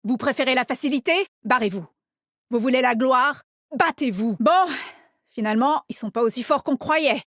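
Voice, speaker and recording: A woman's voice: sits high, moderate pace, a bit bright, no echo, studio quality. An actress playing a cocky, teasing female squad leader in a video game, with a confident, brash and punchy delivery. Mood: firm, rallying. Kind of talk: video game character voice lines.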